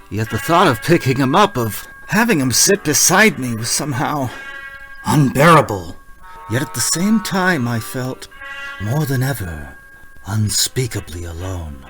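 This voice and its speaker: An actor playing a haunted, lonely motorist narrating a suspense radio drama in a halting, urgent way. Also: male voice, lowish voice, low-quality recording. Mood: haunted, dread. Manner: halting, repeats himself, urgent. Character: haunted male motorist narrating his uneasy lonely road journey.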